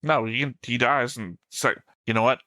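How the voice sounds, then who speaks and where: A man's voice: mid-range voice, steady tone, moderate pace, pushed and bright, no echo, studio quality. A man in a casual fan discussion as a show co-host, talking in a pedantic, insistent way.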